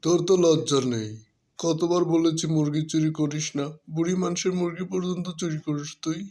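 A man's voice: a little high, steady tone, a little fast, mellow, close to an everyday voice. A man voicing a proud, exasperated elder fox brother in a cartoon, scolding and lamenting. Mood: angry scolding. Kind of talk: cartoon voice acting.

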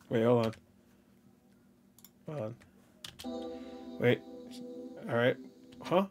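A man's voice: medium pitch, expressive, extremely slow, a little mellow, soft background music. A man in his thirties giving off-the-cuff reaction commentary, rambling and joking with crude slang. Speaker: reaction video host. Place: small indoor room at home.

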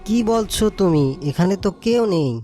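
A woman voicing a jackal husband and father who speaks in a measured, gentle way. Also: medium pitch, animated, soft background music, natural voice. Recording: dry close voice.

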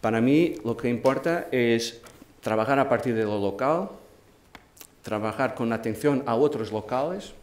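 A man in his forties with a Portuguese accent, a non-native speaker, moderating a panel in a thoughtful way, pausing and restarting phrases. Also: mid-range voice. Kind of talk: panel discussion talk. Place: large dark auditorium stage. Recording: echoey hall with faint noise.

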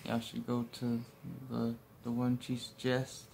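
A young man chats in a low-key, teasing way. His delivery stays flat, with little rise and fall. He is recorded by a phone at arm's length in a hospital room, with faint hiss in the background. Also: unhurried, vocal fry, poor audio. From United States. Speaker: co-host, partner. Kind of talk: casual couple vlog chat. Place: hospital room, lying in a bed. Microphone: phone camera at arm's length.

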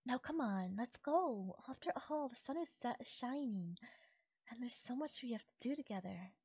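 A woman's voice: fairly high pitch, lively intonation, moderate pace, a little mellow, breathy edge, no room sound. A woman playing a possessive, doting fairy captor in an intimate ASMR audio roleplay, speaking sweetly and lilting, sometimes nearly whispering. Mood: tender, cheerful.

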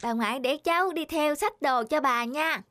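A woman playing a plucky, inquisitive, mischievous little granddaughter in an animated film, polite but insistent. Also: sits high, moderate pace, dry recording. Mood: earnest, curious. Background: music.

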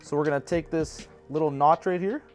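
Shop instructor, man in his 30s, lapel mic, easygoing practical delivery, light pitch. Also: fairly quick, faint music behind. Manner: relaxed, practical, personal asides. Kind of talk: casual how-to explanation. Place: bowling pro shop indoors.

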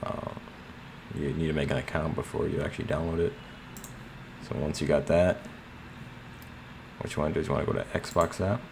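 A man's voice: extremely low pitch, fairly even intonation, brisk, long pauses. A man narrating a casual screen tutorial in a plain, slightly hesitant way. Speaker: tutorial host. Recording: clear background noise.

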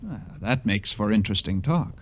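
An actor playing a touchy, bitter, intense writer with a terse, volatile delivery in a 1950s radio crime drama. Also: male voice, on the low side, animated, fairly quick, a little hiss or hum, natural voice. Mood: curt, guarded.

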